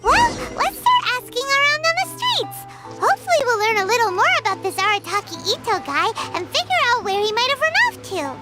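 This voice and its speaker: A woman voicing a tiny, childlike, curious and chatty fairy companion with a squeaky voice in a video game. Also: very animated, brisk, heavily acted. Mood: chirpy. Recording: loud music underneath.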